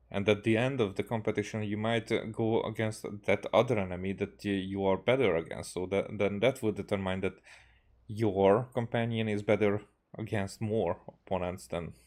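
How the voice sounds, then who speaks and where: A man from Hungary with a Hungarian accent, a native Hungarian speaker, giving casual, halting game commentary as he thinks aloud. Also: on the low side, a little mellow. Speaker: game streamer.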